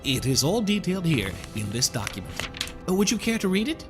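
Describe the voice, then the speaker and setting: Man playing a high, florid, courtly gentleman stranger. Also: sing-song, brisk, over music. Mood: courtly, needling. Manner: florid, over-polite, theatrical, wry. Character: suave, courtly gentleman stranger, slyly mocking and theatrical. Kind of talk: audio drama acting.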